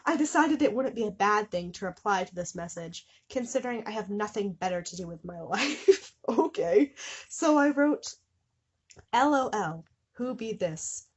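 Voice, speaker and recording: A young woman hosting a casual comedic reading of fan fiction, amused and often breaking off with mock-suffering asides in a slightly husky voice. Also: mid-range voice, lively intonation, normal speed, muffled recording. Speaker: host of a small channel.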